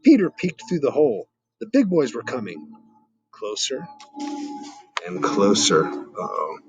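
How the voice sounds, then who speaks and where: A man's voice: medium pitch, huge pitch swings, measured pace, dull audio, over music. A middle-aged man reading a children's story aloud expressively, with dramatic questioning asides to young listeners. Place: outdoors in a garden.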